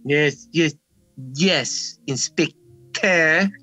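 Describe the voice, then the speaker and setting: A man's voice: a little high, drawn-out words, sharp tone, soft background music, exaggerated voice. A man playing a nervous, evasive French nightclub owner in an amateur radio mystery, stammering, repeating himself and getting defensive.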